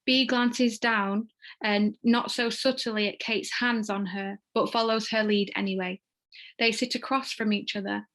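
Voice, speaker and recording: A woman's voice: medium pitch, steady tone, unhurried, a bit bright. A woman reading stage directions as scripted narration for a table read.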